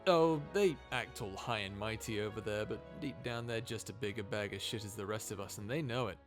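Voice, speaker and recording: A man's voice: fairly low pitch, a little fast, soft background music, natural voice. A man playing a sarcastic, easily exasperated human scientist in an informal, conversational audio roleplay drama. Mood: grumbling, sarcastic. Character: wry, grumbling human scientist, reluctant companion of a robot.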